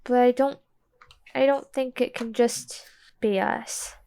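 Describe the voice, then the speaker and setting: A woman's voice: on the high side, steady tone, slow, vocal fry, mellow, smooth. A woman playing an earnest, vulnerable young girl, tentative and sincere, in a tabletop roleplay. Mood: tentative resolve.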